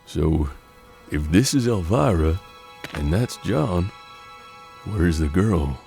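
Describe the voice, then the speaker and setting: Weary frontier detective mentor: low, creaky, folksy, swinging widely in pitch, western audio drama. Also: male voice, lively intonation, slow pace, dark tone, soft background music, exaggerated voice. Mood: matter-of-fact. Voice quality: gravelly. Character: weary, gruff older male bounty hunter and mentor.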